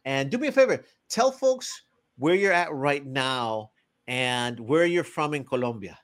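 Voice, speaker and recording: A man hosting a community radio and podcast show, introducing guests with energetic, emphatic delivery. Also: mid-range voice, a little slow.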